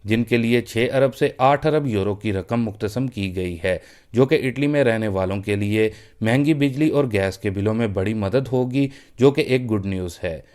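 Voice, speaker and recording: A man's voice: lowish voice, normal speed, darkish tone. A man presenting a news roundup for his online channel, reading the updates steadily and addressing viewers as friends. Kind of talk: read news update in Urdu.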